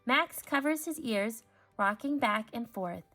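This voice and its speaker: A woman reading a children's story aloud, expressive and clearly phrased for young listeners. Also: fairly high pitch, unhurried, faint music behind. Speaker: storybook reader.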